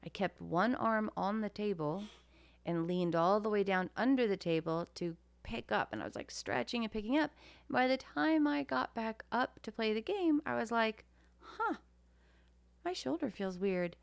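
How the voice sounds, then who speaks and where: Animated self-deprecating woman podcast host. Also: medium pitch, normal speed, creaky, no room sound. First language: Greek. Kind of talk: casual chat.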